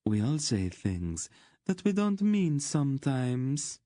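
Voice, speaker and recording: A cruel, seductive queen from a fantasy storybook, acted out by a man. The delivery is languid and purring, raised in pitch, with a cold, bored superiority. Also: sing-song, slow, dark tone, no echo, clean studio sound.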